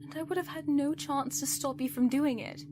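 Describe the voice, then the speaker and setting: A woman's voice: sits high, steady tone, moderate pace, noisy background, close to an everyday voice. A woman playing a protective, exasperated companion to a villain in an audio roleplay, speaking earnestly and reproachfully. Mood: insistent, hurt. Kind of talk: dramatic audio roleplay acting.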